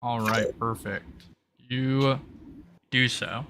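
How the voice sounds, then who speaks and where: A man narrating a tabletop roleplay session as game master, in a halting delivery with drawn-out pauses. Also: mid-range voice, fairly even intonation, background noise.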